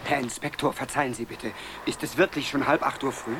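An actor in a 1970s radio drama playing a timid, anxious office clerk, hesitant and apologetic. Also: male voice, mid-range voice, steady tone, brisk, background noise, natural voice. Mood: flustered haste. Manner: hesitant, polite, stammering apologies. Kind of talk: 1970s German-language radio drama acting.

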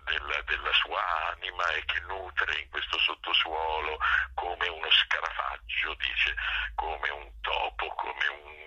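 An older man with an extremely deep, rough-edged voice reflects in an interview. He takes his time, pausing often and repeating words as he searches for the next phrase. The sound is husky and unhurried. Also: level tone, pushed and bright, dull audio. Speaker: theatre actor and director being interviewed. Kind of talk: reflective spoken interview answers.

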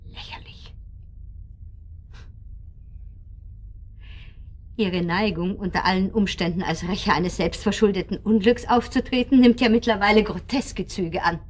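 A woman's voice: mid-range voice, normal speed, close to an everyday voice. A woman acting in a radio drama as a haughty, scornful wife under accusation, speaking in formal, condescending phrases. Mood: contemptuous.

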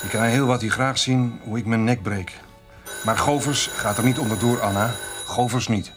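An actor playing a gruff, impatient dairy farmer with a brusque, commanding manner in a Dutch radio play. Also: male voice, medium pitch, moderate pace, music in the background, natural voice. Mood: frustrated, preoccupied.

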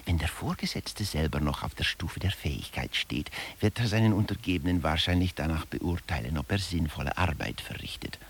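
Very deep gravelly male lecturer. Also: brisk, few pauses, a little hiss or hum. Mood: dry, explaining. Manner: dry, didactic, addresses listeners directly. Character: male management theorist lecturing wryly on workplace incompetence. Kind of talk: scripted satirical lecture in a radio play.

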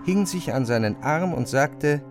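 A man narrating a literary radio play in a measured, calm storytelling voice. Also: a little high. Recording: music clearly behind.

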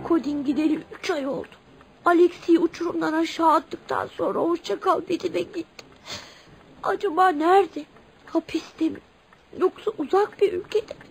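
An actress playing a loyal, tender-hearted young child in a radio play, earnest and emotional. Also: female voice, extremely high pitch, moderate pace, creaky. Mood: wistful. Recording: noisy radio drama recording.

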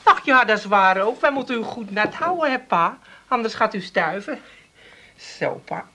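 An actress playing a flustered, fussing Amsterdam housewife who coaxes and repeats herself in a comic radio play. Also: female voice, mid-range voice, expressive, moderate pace, pushed and bright. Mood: fussing, alarmed. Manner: fussy, coaxing, repetitive. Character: fussing, flustered working-class Amsterdam housewife humouring old father-in-law.

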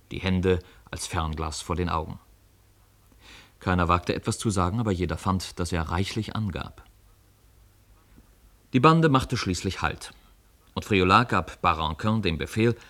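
Low-voiced male radio play narrator. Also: fairly bright tone.

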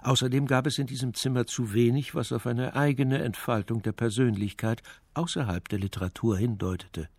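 A man's voice: mid-range voice, steady tone, fairly quick, few pauses, darkish tone, no echo, natural voice. A male actor playing the sober, matter-of-fact narrator of a detective story in a scripted radio play.

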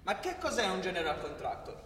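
An actor performs a sardonic male narrator with a very high, bright voice. He lectures theatrically, with irony and emphatic rhetorical questions, as in radio theatre. The sound is distant, in a reverberant hall. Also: sing-song, brisk. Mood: explanatory, ironic. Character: sardonic explanatory narrator of a political radio play. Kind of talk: theatrical scripted narration, civic storytelling. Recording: echoey long-reverb hall, distant from microphone.

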